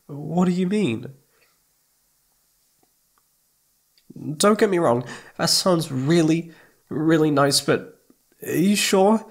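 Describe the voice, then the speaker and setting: A man playing a needy, affectionate young boyfriend in an intimate ASMR roleplay, speaking in a soft, pleading, hesitant way. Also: fairly high pitch, animated, measured pace. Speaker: audio roleplay voice actor.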